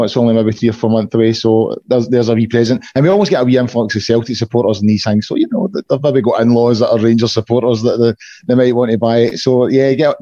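A Scottish man with a hoarse voice hosting a casual podcast chat, chatty and full of restarts. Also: mid-range voice, warm tone, no echo, dull audio. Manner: chatty, many fillers and restarts. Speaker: podcast host.

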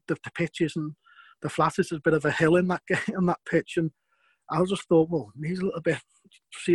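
A man's voice: on the high side, a little mellow, no echo. Scouse man telling stories, dry sound. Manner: rambling, repeats words, chuckles between points. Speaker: former footballer. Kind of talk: interview storytelling.

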